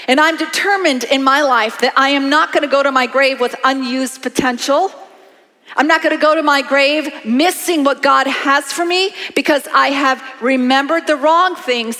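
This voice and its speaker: A woman in her late fifties preaching a sermon in an animated, conversational way, calling on the congregation to respond. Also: high-pitched. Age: 50s. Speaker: pastor. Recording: long hall reverb.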